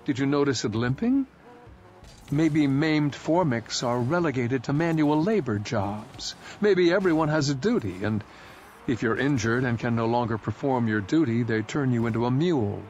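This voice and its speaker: A man's voice: mid-range voice, expressive, normal speed, a little mellow, highs cut off, a little hiss or hum, natural voice. A man reading aloud voicing a young male soldier who is blunt and dismissive. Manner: dismissive, matter-of-fact.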